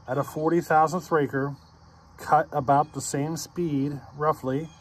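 A man in his forties hosting a chainsaw channel, explaining his results in a chatty way straight to a phone camera outdoors, often asking "you know what I mean?". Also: medium pitch. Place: outdoors by trees, at a picnic table. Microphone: phone camera close up at arm's length.

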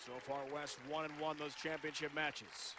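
Male bowling announcer, brisk upbeat delivery, higher voice, broadcast mix with crowd noise. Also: fairly even intonation. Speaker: play-by-play announcer. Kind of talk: televised bowling play-by-play.

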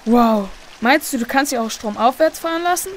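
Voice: mid-range voice, lively intonation, moderate pace, a bit bright. A performer playing a cautious, sensible boy in a children's radio play. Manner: careful, questioning.

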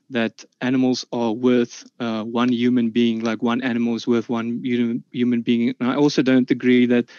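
A man's voice: mid-range voice, a little mellow, dry recording. A man whose first language is Afrikaans, giving an online debate statement with many fillers and restarts over a video call. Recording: dull, slightly lo-fi dry sound.

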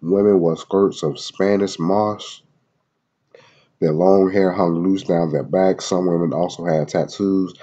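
Male host, congested, husky, mellow tone; casual read-aloud with slang asides. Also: low voice, steady tone, a little slow, lo-fi sound. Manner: loose slangy asides between read passages, many fillers. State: congested from a head cold. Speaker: video host reading a website aloud. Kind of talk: casual screen-recorded reading and commentary. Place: at home in Florida.

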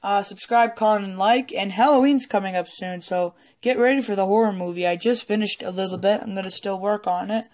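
Hoarse, slightly sick woman toy vlogger; rambling, hesitant, casual cursing; close phone recording at a home table. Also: mid-range voice, fairly quick, few pauses, darkish tone. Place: indoors at a wooden table in a home room.

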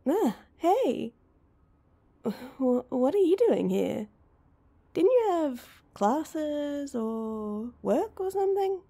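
A woman's voice: high-pitched, sing-song, extremely slow, warm tone, full character voice. A woman playing an awkward, heartbroken young woman in an intimate roleplay audio drama, speaking hesitantly and with self-deprecation. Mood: flustered, awkward.

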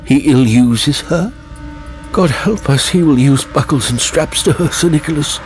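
A man voicing a frightened old manservant, pitched high. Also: measured pace, faint music behind, natural voice. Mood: fearful pleading.

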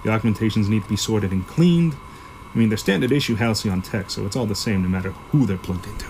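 Guy acting a jaded sci-fi organ dealer, lowish voice, gruff and sweary, bit of noise behind. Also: quick pace, darkish tone, natural voice, rough recording. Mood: matter-of-fact, jaded. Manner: gruff, profane, offhand storytelling. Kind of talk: sci-fi audio drama acting.

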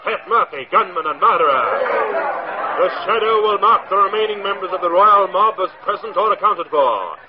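Menacing unseen crime-fighter, taunting and measured, theatrical old-radio delivery, slightly high pitch, dull vintage sound. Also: male voice, animated, crowd noise. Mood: commanding, ominous. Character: menacing invisible male avenger, taunting and commanding. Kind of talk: 1940s radio acting. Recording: muffled old 1940s radio recording.

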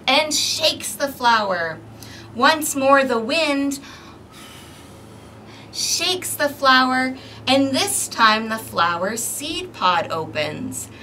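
A woman in her thirties hosting an interactive storytime for young children, animated and deliberate, recorded outdoors at a distance from the microphone. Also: on the high side. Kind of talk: interactive picture-book read-aloud for young children. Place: outdoors on an apartment balcony. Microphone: camera microphone a few feet away. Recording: outdoor balcony, distant mic, ambient noise.

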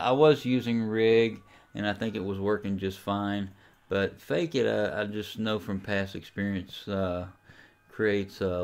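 A man with a fairly low, clean and resonant voice talks through a software tutorial. He explains each step in a casual, informal way, as the host of a hobby how-to. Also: clean tone. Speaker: amateur radio tutorial host.

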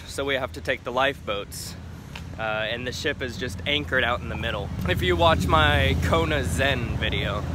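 A young man in his twenties talking casually to a handheld camera, relaxed and rambling, outdoors and later in a small cabin. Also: mid-range voice, slight background noise. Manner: relaxed, rambling, self-amused. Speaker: cruise ship musician vlogger. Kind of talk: casual vlog chat. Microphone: phone camera at arm's length.

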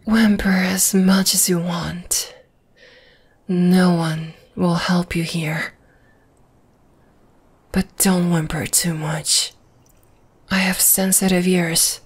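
A woman's voice: lowish voice, fairly even intonation, heavily acted. Woman as a whispering, menacing spider woman. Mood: predatory, teasing. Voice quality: breathy. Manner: slow, teasing, menacing whisper. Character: menacing, dominating, flesh-eating giant spider woman. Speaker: voice actor. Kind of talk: whispered ASMR roleplay.

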